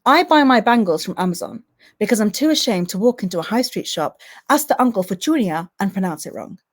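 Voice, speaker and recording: A woman poet, sitting at a mid pitch. Between poems she talks to her online audience in a halting way, full of "um"s. When she reads, her delivery turns rhythmic and impassioned. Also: expressive. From Manchester, England. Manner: hesitant, filler-heavy chat between poems. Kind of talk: spoken word poetry reading with chat to an online audience.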